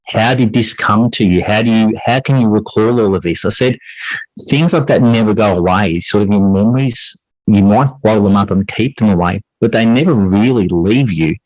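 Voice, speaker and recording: A middle-aged man with a fairly low voice talks reflectively as an interview guest. He is thoughtful and halting, often searching for the right word. The sound is dry and close, with little room in it. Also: a little mellow, no room sound, low-quality recording. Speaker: podcast guest, teacher and writer.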